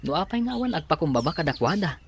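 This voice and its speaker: A man's voice: fairly high pitch, big pitch swings, very fast, slight background noise. A man in an Ilocano radio drama playing a weary, reasonable husband and son. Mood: resigned.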